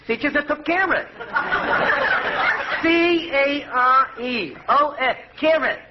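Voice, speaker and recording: High-voiced, slangy young soda clerk. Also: male voice, slow. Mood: pompous explaining. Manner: mangles words and spells them out, pseudo-formal. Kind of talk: 1940s radio comedy acting. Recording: noisy, dull old radio recording with clicks.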